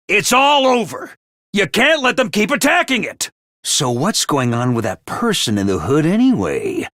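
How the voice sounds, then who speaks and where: A man voicing a peppy, bossy little mascot commanding officer in a video game, with a high cartoonish voice and theatrical, jaunty drill-sergeant delivery. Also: pushed and bright, dry recording, studio quality, heavily acted. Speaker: voice actor for a video game character. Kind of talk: animated video game voice acting.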